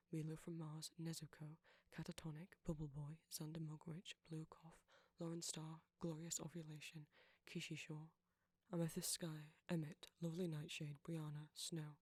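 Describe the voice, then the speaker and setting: A man with a soft, breathy voice, reading a scripted creator's outro. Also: on the high side, monotone, moderate pace, no echo. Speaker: audio creator.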